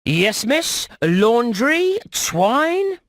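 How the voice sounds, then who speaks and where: A man playing a cheeky, shifty rat salesman in an animated game, with oily, theatrical patter. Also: very high voice, very slow, dry recording, clean studio sound, exaggerated voice. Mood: smug, cheerful. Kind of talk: animated video game voice acting.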